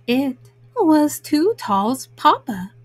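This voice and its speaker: A woman's voice: sits high, big pitch swings, drawn-out words, no echo. A woman storyteller narrating a children's storybook.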